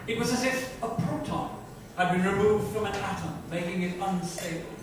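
High-pitched man narrator, echoey room. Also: moderate pace, a crowd in the background. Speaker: reader. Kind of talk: dramatic scripted book narration. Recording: echoey room, distant, noisy.

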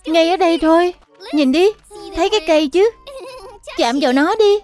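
A woman voicing a friendly, excitable young animal schoolchild in a children's cartoon dub. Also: extremely high pitch, moderate pace. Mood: excited, friendly. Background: background music.

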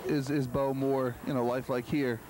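A man's voice: medium pitch, level tone, normal speed. A man with an American accent hosting live sports commentary from a bowling alley, with joking, teasing banter. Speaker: bowling show commentator and host. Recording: noisy bowling alley, 1990s cable TV audio. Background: clear bowling alley noise.

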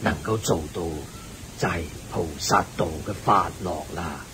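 An actor playing a wise, benevolent Buddhist layman who teaches patiently in a Hong Kong Cantonese radio drama. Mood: benevolent, solemn. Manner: measured, benevolent, didactic. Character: kindly, wise male Buddhist layman sage. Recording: hissy, rumbly old radio recording.

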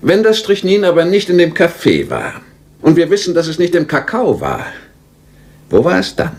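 An actor playing a methodical, matter-of-fact police inspector asking questions in a radio crime drama. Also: male voice, on the high side, huge pitch swings, normal speed, darkish tone. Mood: reasoning.

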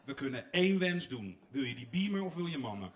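A man's voice: mid-range voice, big pitch swings, fast. A man, presenting playfully and teasingly on stage as a show host. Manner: teasing, joking. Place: large theatre stage with choir. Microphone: handheld microphone. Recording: echoey stage, distant from mic.

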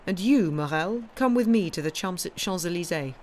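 Refined aristocrat read by a narrator: measured, courteous, quietly commanding. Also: medium pitch, lively intonation, slight background noise, lightly acted. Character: suave wealthy male count, gentle and commanding. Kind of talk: audiobook dialogue.

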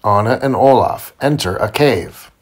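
A man reading a children's storybook aloud to his child in simple, deliberate phrasing. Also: mid-range voice, a little hiss or hum. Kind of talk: children's read-aloud narration.

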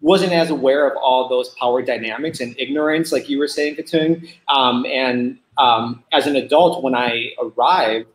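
A man in his thirties from Puerto Rico speaks earnestly on a video call, restarting his sentences and lifting his pitch when he makes a point. His voice sits at a middle pitch. The call audio has a roomy echo. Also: normal speed, few pauses. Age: 30s. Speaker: panelist.

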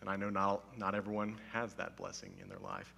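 A man in his thirties, a pastor, giving a casual, humorous sermon in a slightly hoarse voice, playful and mock-serious, on a headset mic on a church stage. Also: sits low, very animated, brisk, bright, forceful tone, a little hiss or hum. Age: 30s. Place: church stage with wood-panelled wall. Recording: long hall reverb.

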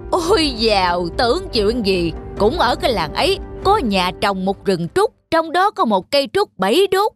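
A woman voicing a boastful forest demon in a folk-tale cartoon, gossipy and scornful. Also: on the high side. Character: boastful male forest demons trading secrets. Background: background music.

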